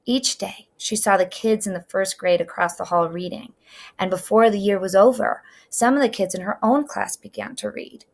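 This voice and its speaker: A middle-aged woman reading a children's story aloud with deliberate, expressive, dramatic phrasing. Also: mid-range voice.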